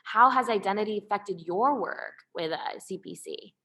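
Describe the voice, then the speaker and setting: Bright-voiced woman moderating a panel. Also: medium pitch, very animated, moderate pace. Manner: upbeat, conversational, uses y'all. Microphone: video call.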